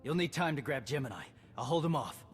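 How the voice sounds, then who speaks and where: A man's voice: on the high side, animated, quick pace, faint music behind, lightly acted. Honorable young warrior, played by a man; earnest, proud, echoey space.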